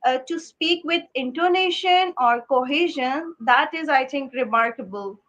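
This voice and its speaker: A woman's voice: sits high, measured pace, a bit bright, dull audio. A woman, hosting a live stream interview over a video call, talking hesitantly with many fillers. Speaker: host.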